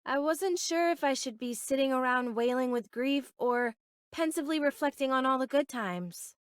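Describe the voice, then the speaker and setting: An actress playing an easygoing, self-deprecating young street urchin turned soldier in a video game, with casual, flippant bravado. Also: female voice, high voice, lively intonation, moderate pace, smooth, no room sound, studio quality. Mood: resigned, reflective.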